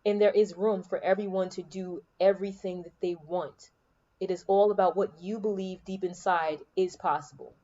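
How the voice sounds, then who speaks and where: A woman hosting a podcast of spiritual encouragement in a soothing, calming voice. She talks in an unhurried, reflective way and repeats key lines for emphasis. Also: medium pitch, highs cut off. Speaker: podcast host and spiritual coach. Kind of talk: spiritual motivational monologue. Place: room at home with air conditioning on. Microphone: laptop microphone.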